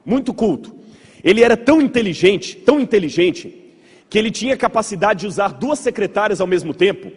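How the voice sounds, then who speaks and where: Male Brazilian preacher-lecturer, higher-pitched and slightly husky, conversational storyteller addressing a congregation in a reverberant hall. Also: a little mellow. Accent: Brazilian Portuguese. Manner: conversational, asks the audience rhetorical checks, repeats words for emphasis. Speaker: pastor giving a church history lecture. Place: large hall with audience.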